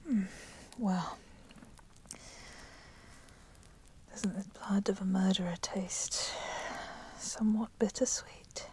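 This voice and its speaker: A woman voicing a proud, resentful female vampire in a whispered ASMR roleplay, speaking softly and deliberately in formal, menacing phrases. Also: mid-range voice, steady tone, natural voice. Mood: coolly teasing. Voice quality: soft, breathy whispering. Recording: constant crackling fire noise behind voice. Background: crackling fire.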